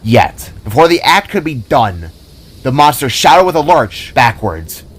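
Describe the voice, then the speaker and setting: A man's voice: mid-range voice, sing-song, a little slow, a little hiss or hum. A man reading his own scripted action story as narrator, in a dramatic storytelling style. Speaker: author-narrator. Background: music.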